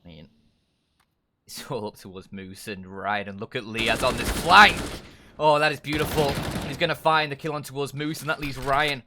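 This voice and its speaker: A man casting an esports match live, play-by-play, rising to shouts at big plays. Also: mid-range voice, moderate pace, noisy background.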